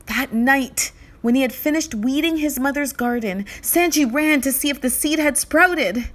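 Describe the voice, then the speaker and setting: A woman narrating a children's story read-aloud in an expressive, deliberate way. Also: high voice.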